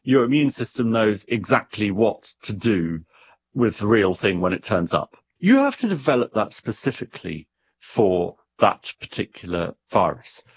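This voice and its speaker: A man speaking as an expert guest, explaining things conversationally in an animated, wry way, sometimes doubling back on his words. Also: lowish voice, moderate pace, breathy edge, muffled recording.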